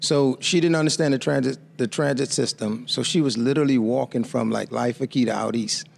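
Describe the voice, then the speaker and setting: A man in his fifties from the Bahamas, telling his life story as a guest author in a measured, reflective way. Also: medium pitch, gravelly.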